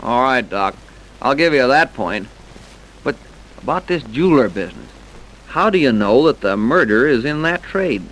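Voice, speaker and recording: An actor playing a brisk, sceptical police officer in an old-time radio crime drama, questioning in gruff, slangy style. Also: male voice, medium pitch, lively intonation, fairly bright tone, a little hiss or hum, close to an everyday voice, low-quality recording. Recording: vintage radio broadcast recording.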